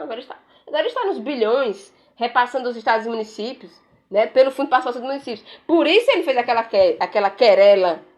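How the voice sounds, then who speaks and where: A woman giving casual political commentary while browsing pages on her screen, sarcastic and rambling, tagging sentences with questions. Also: medium pitch. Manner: frequent "né?" tags, rambling, sarcastic.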